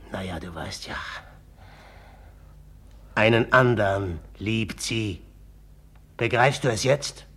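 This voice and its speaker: An actor playing a brooding, bitter, obsessively jealous suitor in a German radio play, speaking haltingly with a rough edge. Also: male voice, medium pitch, fairly bright tone. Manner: halting and brooding, breaking into taunting outbursts.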